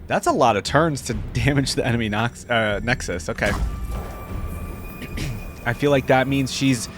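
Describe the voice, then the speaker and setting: A man's voice: medium pitch, normal speed, soft background music. A man giving casual reaction commentary as a video host, thinking aloud as he goes.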